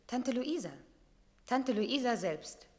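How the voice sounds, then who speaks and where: A woman playing a poised, sceptical, quietly challenging lady in a 1960s German radio drama. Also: on the high side, animated, takes it slowly, soft background music. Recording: slightly noisy 1960s radio recording.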